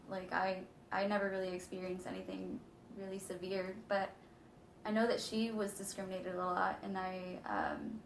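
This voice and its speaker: A nineteen-year-old woman answering interview questions hesitantly, rambling with many fillers. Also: mid-range voice. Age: late teens. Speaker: interviewee, art student. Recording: roomy echo, faint noise.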